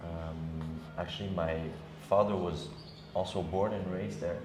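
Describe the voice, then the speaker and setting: A young man from Senegal, a guest DJ, talking casually in an interview with many ums and pauses. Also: low voice, music in the background. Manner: relaxed, hesitant, many ums and uhs. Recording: echoey room with background noise.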